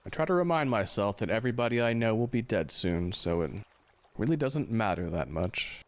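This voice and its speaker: An actor playing a gloomy, philosophical man on a ship in an audio drama, with a solemn deadpan delivery. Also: lowish voice, brisk, close to an everyday voice. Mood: wry, morbid. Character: gloomy philosophical ship's man, fatalistic and slow to romance.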